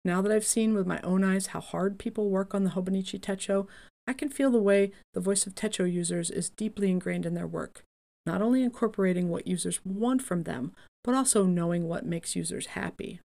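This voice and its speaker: A woman reading the answers of a calm older male company founder in an imagined interview, in a modest, measured way. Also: medium pitch, vocal fry, close to an everyday voice.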